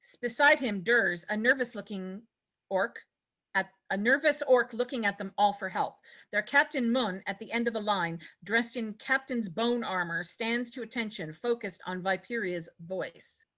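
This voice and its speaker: Woman narrator, bright forceful tone, medium pitch, scripted stage-direction reading. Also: moderate pace. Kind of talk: scripted narration at a table read.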